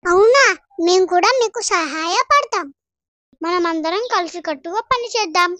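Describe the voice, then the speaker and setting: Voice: very high-pitched, animated, normal speed, bright, forceful tone, dry recording, full character voice. A performer voicing a cheerful, helpful and affectionate village child in a moral story cartoon. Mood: excited, happy. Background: children's background music.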